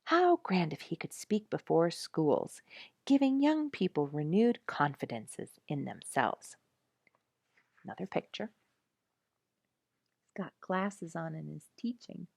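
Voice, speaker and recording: Expressive, lower-pitched woman storyteller. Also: slow, vocal fry, long pauses, dry recording. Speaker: storyteller reading a book aloud. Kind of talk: expressive scripted storybook narration.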